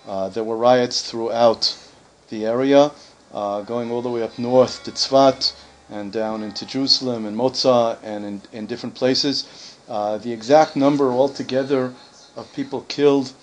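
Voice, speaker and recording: A man's voice: mid-range voice, fairly even intonation, moderate pace, slight background noise. A man speaking for a community, answering a visiting group's questions in a conversational way, with many fillers and self-corrections.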